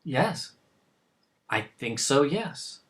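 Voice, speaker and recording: Man acting proud middle-aged father, stern yet regretful, defensive, halting delivery, mid-pitched, drama dialogue. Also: animated, fairly bright tone.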